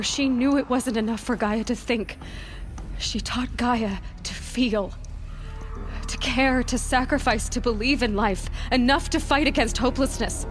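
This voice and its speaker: A woman's voice: fairly high pitch, natural voice. A woman playing a passionate, idealistic young heroine in a dramatic video game, with music behind her.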